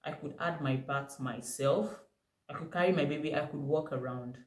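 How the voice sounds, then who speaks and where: A young woman with a Nigerian accent telling a personal story to camera, animated and emphatic. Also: deep voice, moderate pace. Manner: animated, emphatic retelling with bursts of laughter. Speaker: vlogger. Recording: echoey room.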